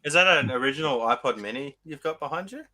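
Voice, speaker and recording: A man's voice: medium pitch, expressive, fast talker, pushed and bright. A man hosting a podcast in casual, chatty conversation with his guests. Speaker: podcast host. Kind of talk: casual chat.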